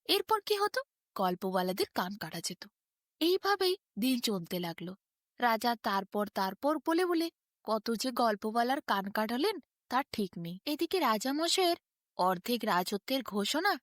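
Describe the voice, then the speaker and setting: A woman narrating a bedtime folk tale as an expressive storyteller. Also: a little high, normal speed, no echo, studio quality.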